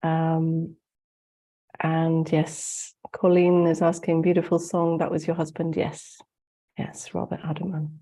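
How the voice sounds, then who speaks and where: A soft-spoken woman leads a guided meditation over a video call in a low, mellow voice. Her nose sounds blocked up as she gets over the flu. She speaks very slowly with hardly any rise or fall. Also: completely flat delivery. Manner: slow, soft-spoken, gently guiding. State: congested, getting over the flu. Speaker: spiritual teacher hosting a webinar.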